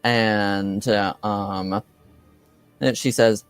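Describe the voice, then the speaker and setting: A man narrating a tabletop game as game master, improvising and pausing often mid-sentence. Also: fairly low pitch, little pitch movement, smooth, no room sound, faint music behind.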